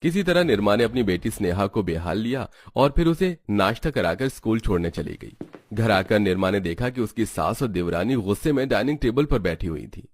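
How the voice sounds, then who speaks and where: A man narrating a cartoon moral story in a steady, even storytelling voice. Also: lowish voice, brisk.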